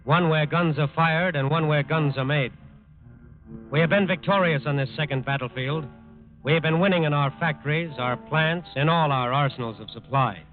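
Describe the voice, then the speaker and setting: Solemn higher-pitched male radio narrator. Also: normal speed. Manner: solemn, emphatic. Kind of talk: 1940s radio scripted dramatic narration. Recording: tinny 1940s radio recording with music.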